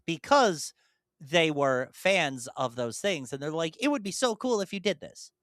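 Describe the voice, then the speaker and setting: Co-host, quick agreeing interjections, lively pitch, dry close mic. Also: no room sound. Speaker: podcast co-host. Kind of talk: casual chat.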